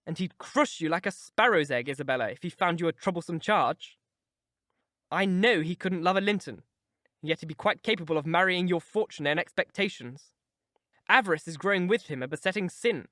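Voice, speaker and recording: A proud young married woman lectures with cutting scorn, as a male reader puts her on. Her words come emphatic and animated, set a little above the reader's own tone. The recording is dry. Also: sits high, moderate pace, no echo. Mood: lecturing.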